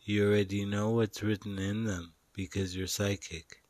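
A man narrating a guided meditation in a soothing, instructional way, pausing between short phrases. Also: on the low side, level tone, darkish tone, dry recording.

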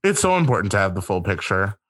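A man speaking as a guest in a casual discussion, earnest and reflective, often pausing to rephrase. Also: fairly low pitch, very animated, fairly bright tone, no room sound.

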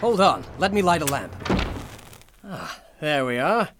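An actor playing an eccentric, boastful painter of horrors, talking fervently and persuasively in a radio drama. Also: male voice, on the high side, very animated, a little slow, noisy background. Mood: hospitable.

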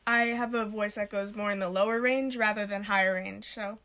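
A woman's voice: medium pitch, fairly even intonation, fairly quick, fairly bright tone. A twelve-year-old girl co-hosting a casual home podcast, shy and giggly as she answers. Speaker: podcast co-host.

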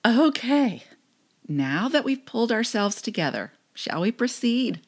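A woman's voice: on the high side, sing-song, unhurried, husky. A middle-aged woman co-hosting a podcast, chatting casually and easily tipping into giggles as she retells things. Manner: giggly, easily breaks into laughter. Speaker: podcast co-host. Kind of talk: casual chat, retelling a magazine article.

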